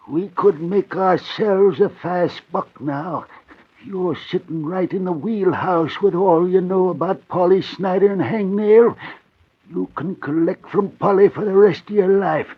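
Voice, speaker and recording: An actor playing a sly, wheedling underworld crook with a croaky voice in an old radio crime drama. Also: male voice, sits high, heavily acted. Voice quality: raspy, croaky. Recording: tinny, narrow-band old radio recording.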